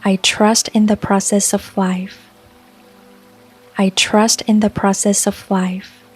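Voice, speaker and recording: Woman, guided meditation narrator, very slow pace, soft husky breathy voice, soothing, music and rain behind. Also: medium pitch. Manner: calm, soothing, unhurried delivery with long pauses. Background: soft music and rain sounds.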